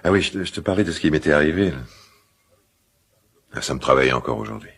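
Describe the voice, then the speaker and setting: A guilt-ridden middle-aged father in a naturalistic radio drama. His voice is extremely deep, full of creak and very husky. He confides haltingly, with an awkward tenderness. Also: lively intonation, fairly bright tone, exaggerated voice. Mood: haunted, confessing. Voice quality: gravelly, husky smoker's voice.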